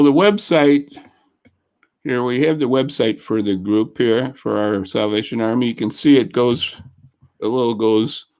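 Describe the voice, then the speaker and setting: Chatty man hosting a video-call interview. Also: medium pitch. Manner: folksy, chatty, warm thanks. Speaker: host. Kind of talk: casual local interview show.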